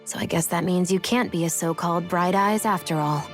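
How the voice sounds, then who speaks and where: A woman's voice: fairly low pitch, a little fast, music in the background, close to an everyday voice. An actress playing a cool, impatient young warrior woman in a video game cutscene. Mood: cool, probing. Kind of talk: dramatic video game voice acting.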